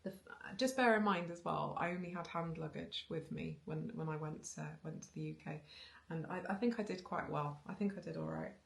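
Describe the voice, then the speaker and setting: A woman in her thirties talks casually into a camera in her living room, her voice low and touched with vocal fry. She rambles along with ums and restarts, breaking into small laughs. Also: brisk. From Wales. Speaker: knitting vlogger. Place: living room with bookshelves, indoors. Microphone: camera on a tripod facing her.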